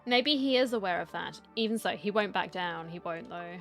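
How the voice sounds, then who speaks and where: A woman's voice: fairly low pitch, moderate pace, a bit bright. A woman hosting a gaming playthrough, musing aloud and reacting conversationally. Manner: conversational, muses aloud at the game. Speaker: gaming video host. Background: faint game music.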